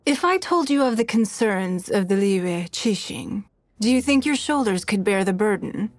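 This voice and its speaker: A woman's voice: mid-range voice, animated, normal speed, dry recording, natural voice. An actress playing a poised, shrewd and wealthy woman leader in a video game, with a composed, refined and authoritative manner. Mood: cool, measured. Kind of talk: video game character voice lines.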